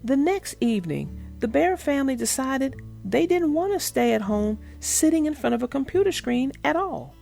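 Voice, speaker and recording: A woman's voice: high voice, sing-song, moderate pace, vocal fry, few pauses, dark tone, faint music behind. A woman narrating a children's storybook and talking to young listeners in an emphatic, instructive way. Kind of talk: read-aloud storytelling and explaining to children.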